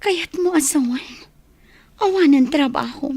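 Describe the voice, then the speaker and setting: A woman's voice: high-pitched, unhurried, darkish tone, dry recording. A woman acting a lovelorn, pleading young daughter in a radio drama, with an Ilocano Filipino accent. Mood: worried.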